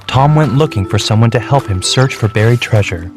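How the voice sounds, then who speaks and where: A man narrating a children's storybook with clear, measured, steady reading. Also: mid-range voice. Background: background music.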